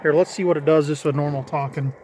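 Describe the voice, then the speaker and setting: Middle-aged male, slightly high voice, informal hobbyist demo delivery, thinks aloud, some background noise. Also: steady tone, fast talker. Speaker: hobbyist vlogger demonstrating a CB radio. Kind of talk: casual hands-on demonstration. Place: in or beside a parked vehicle. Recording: clearly noisy handheld amateur recording.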